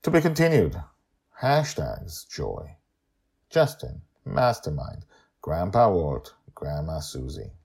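A deep-voiced man hosts a story podcast. His voice is husky and his delivery is dramatic, swinging widely in pitch as he stresses his words. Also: big pitch swings, slow pace, a little mellow. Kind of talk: expressive scripted story narration and podcast talk.

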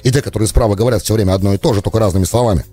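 A man's voice: on the low side, very fast, mellow, soft background music. A man hosting a political talk radio show, speaking in a rambling, argumentative monologue that is full of self-corrections.

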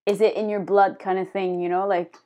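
A woman's voice: mid-range voice, little pitch movement, fairly quick, highs cut off. Young woman rambling with filler words. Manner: rambling, heavy "like" fillers, hesitant. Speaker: podcast host. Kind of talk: casual interview chat.

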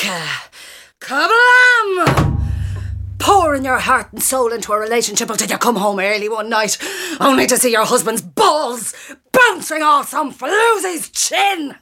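A woman's voice: a little high, sharp tone, exaggerated voice. An Irish woman acting in a radio drama as a brash, bitter, wounded café manager, blunt and agitated.

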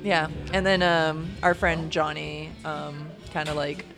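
A woman's voice: sits low, fairly even intonation, unhurried, creaky, music in the background. A woman in her late twenties, a band's frontwoman, chatting casually in an interview with lots of fillers and frequent laughter. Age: late 20s. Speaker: band frontwoman, guest.

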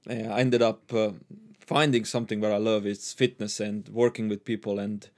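Halting man, lowish voice. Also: little pitch movement. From Transylvania, Romania. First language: Hungarian. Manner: halting, many restarts and fillers, laughs often. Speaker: interview guest, gym owner. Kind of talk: casual interview.

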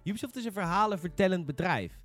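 A man's voice: sits high, expressive, quick pace, dry recording. A man in a casual, opinionated gaming discussion, emphatic, repeating phrases and swearing. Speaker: gaming podcast panelist.

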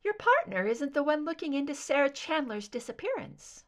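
A woman voicing a composed, mysterious female captor in a clear, soft, delicate soprano, cryptic and deliberate in audio drama style. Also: high-pitched, big pitch swings, voice pushed up. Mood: calm, unmoved.

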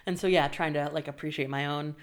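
A woman's voice: low-pitched, fairly even intonation, fast talker. A woman hosting a podcast, chatting casually and conversationally with frequent filler phrases. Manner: warm and conversational, frequent "you know". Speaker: podcast host.

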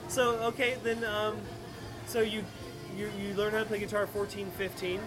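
A man from Florida hosting a casual conversation, chatty and quick to jump in. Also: sits high, over music, rough recording. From Sarasota, Florida. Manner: chatty, excitable, interjecting. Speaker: host. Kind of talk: casual chat.

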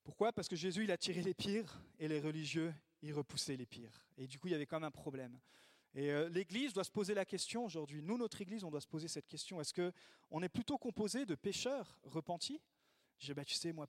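A man preaching a sermon in a lively way, acting out the voices he quotes. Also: fairly high pitch, a little fast, no room sound. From Italy. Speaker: pastor.

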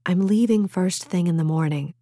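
A woman's voice: on the low side, normal speed, mellow, no room sound, close to an everyday voice. Woman playing a frank, practical teenage girl, dry recording. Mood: gentle, firm. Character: practical, gentle but firm teenage girl before college. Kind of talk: audiobook character dialogue.

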